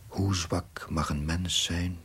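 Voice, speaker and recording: An actor playing a troubled, self-doubting curate who narrates his diary gravely and introspectively in a husky, breathy voice. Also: deep voice, steady tone, takes it slowly, a bit bright, heavily acted. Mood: despondent, hesitant. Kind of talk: brooding dramatic diary narration.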